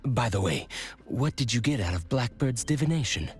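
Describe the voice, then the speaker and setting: A man's voice: mid-range voice, lively intonation, moderate pace, soft background music, natural voice. An actor playing a practical, easygoing male investigator in a video game.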